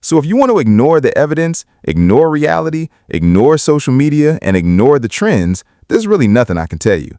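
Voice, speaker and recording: A man's voice: a little high, sing-song, brisk, few pauses, dark tone, dry recording, studio quality. A man giving opinionated video commentary in an emphatic, argumentative way. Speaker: video commentator on hip-hop.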